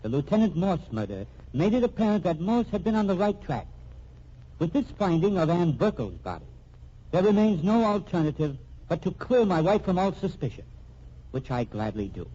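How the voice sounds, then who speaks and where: An actor playing an earnest accused man dictating a formal, deliberate statement in a vintage radio mystery, husky-voiced. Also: high voice, big pitch swings, mellow. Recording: muffled old radio recording.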